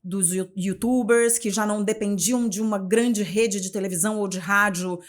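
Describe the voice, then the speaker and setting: Carioca woman in her forties, journalist guest on an interview show, expressive and chatty, often restarting phrases and adding tag questions. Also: medium pitch, moderate pace. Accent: Carioca, Rio de Janeiro. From Rio de Janeiro, Brazil. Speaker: guest, journalist and communication mentor. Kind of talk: casual interview conversation.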